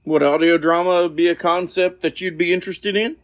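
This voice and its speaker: A woman's voice: lowish voice, fairly even intonation, fairly quick, no echo, clean studio sound. A woman hosting a podcast interview, introducing a guest and asking questions.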